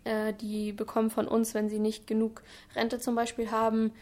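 A woman's voice: on the high side, completely flat delivery, moderate pace, a little mellow. A woman of twenty-one, a trainee, answering interview questions earnestly and at length, with some fillers. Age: 20s. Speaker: trainee in public administration.

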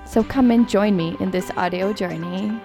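A woman's voice: mid-range voice, normal speed, music in the background. A woman hosting a podcast, reading scripted narration. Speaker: podcast host and interviewer.

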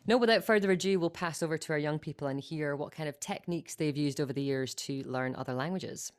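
A woman co-hosting a casual chat, moving the show along briskly and joking about herself. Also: low voice. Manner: self-mocking, brisk links between segments. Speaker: co-host.

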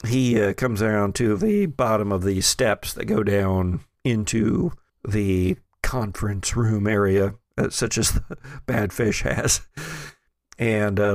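A man hosting a tabletop game as game master, improvising descriptive narration in a halting way. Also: lowish voice, expressive, creaky, no echo, clean studio sound. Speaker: game master and podcast host.

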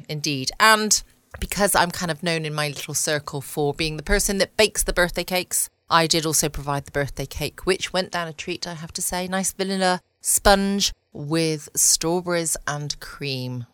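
A woman with an English accent hosting a podcast in a relaxed, chatty bedtime style. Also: low voice, normal speed, smooth, no room sound. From United Kingdom. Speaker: podcast host. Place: at home, dry and close.

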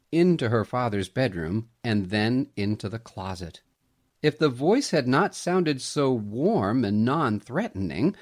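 Animated male storyteller, lively pitch. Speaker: storyteller reading a family Christmas story. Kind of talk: expressive scripted audiobook narration.

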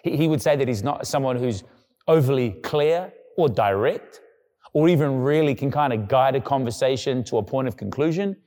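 Man, pastor, conversational sermon, mid pitch, long hall reverb. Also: moderate pace, warm tone. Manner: conversational preaching, acting out quoted voices in a low murmur.

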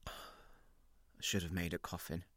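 A man's voice: sits low, little pitch movement, moderate pace, a bit bright, dry recording. A man reading a dramatic first-person story as a guilt-ridden, unravelling, well-off narrator, confessional and intense in short clipped phrases. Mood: brooding, guilty.

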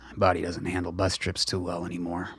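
A gruff old father played by a male actor in a radio play. His voice sits deep and is recorded very dry. He speaks tersely, swears, and sounds guarded and distrustful. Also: monotone, quick pace, a bit bright, dry recording, exaggerated voice. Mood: wary. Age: older. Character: gruff, suspicious old father on a covert mission.

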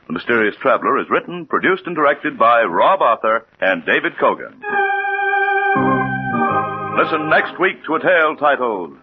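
A man's voice: mid-range voice, very animated, moderate pace, pauses often. Old timey radio announcer guy, emphatic and swoopy, really narrow lo-fi audio. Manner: formal, emphatic. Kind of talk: 1940s radio announcing, scripted credits. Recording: very thin, band-limited 1940s radio recording. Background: organ music.

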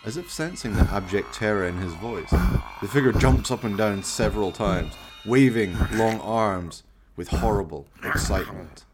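Deep-ish guy narrating a horror radio play, florid and wry, music going behind him. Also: normal speed. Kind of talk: dramatic scripted horror narration.

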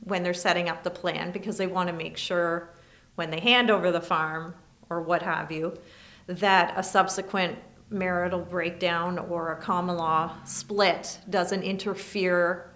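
A woman lawyer with a Canadian accent, answering interview questions in a measured, explanatory way. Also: fairly low pitch, fairly even intonation, a bit bright.

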